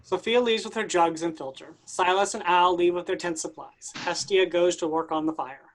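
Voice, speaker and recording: A woman's voice: fairly low pitch, normal speed, low-quality recording. A woman reading out stage directions as scripted narration for a play reading.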